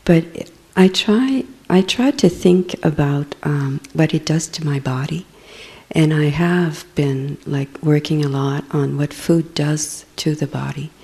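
A woman with a very low, gravelly voice and a dark tone. She speaks hesitantly while introducing herself in a casual group setting. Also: takes it slowly. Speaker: participant.

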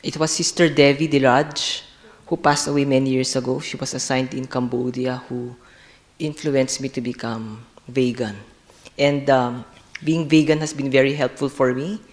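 A man introducing himself in a group in a relaxed, casual way. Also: medium pitch, moderate pace.

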